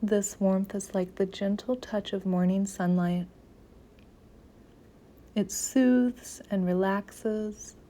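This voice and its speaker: A woman leading a guided meditation in a soft, gentle, soothing voice, with phrases often trailing into near-whispers. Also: medium pitch, fairly even intonation, slow, pauses often.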